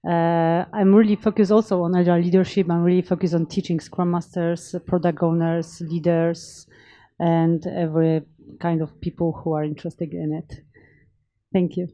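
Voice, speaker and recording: A woman's voice: on the low side, level tone, moderate pace, dark tone, no echo. A woman from Poland, a Scrum trainer introducing herself on a panel.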